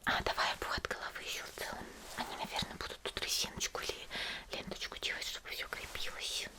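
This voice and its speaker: A woman doing an ASMR roleplay, speaking to the listener in a gentle, caring, unhurried manner. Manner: gentle, caring, unhurried, uses affectionate diminutives. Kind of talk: whispered ASMR roleplay, softly talking to the listener.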